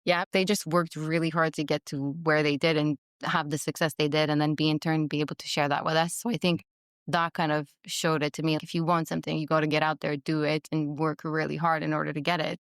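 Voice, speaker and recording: Young woman from montreal, italian first language, chatty and upbeat, lowish voice, dry close mic. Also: level tone, dry recording. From Montreal, Canada. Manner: chatty and upbeat, quick laughs. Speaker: interview guest, interior designer. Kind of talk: casual interview conversation. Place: recorded in a workspace studio in London.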